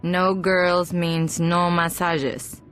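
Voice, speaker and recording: Slow, gloomy shopkeeper. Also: female voice, fairly low pitch, level tone, a bit bright, faint music behind. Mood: resigned. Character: gloomy, resigned massage parlor keeper. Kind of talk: video game cutscene acting.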